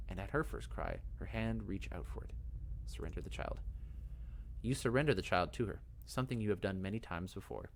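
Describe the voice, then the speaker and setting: A man reading fantasy game text aloud as dramatic narration, in a measured, expressive storytelling manner. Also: fairly low pitch, slight background noise. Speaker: let's play host.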